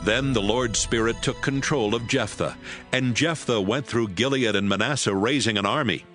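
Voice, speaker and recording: A man narrating a dramatized Bible book in a steady, measured way, with music behind. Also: mid-range voice. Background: background music.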